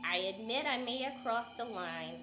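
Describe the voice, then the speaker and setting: Actress as patronizing elder sister, dry deadpan 1940s radio comedy, roomy sound over prominent music. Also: female voice, on the high side, normal speed, close to an everyday voice. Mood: deadpan, condescending. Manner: deadpan, condescending. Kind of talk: comic 1940s radio acting. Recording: roomy echo under loud music.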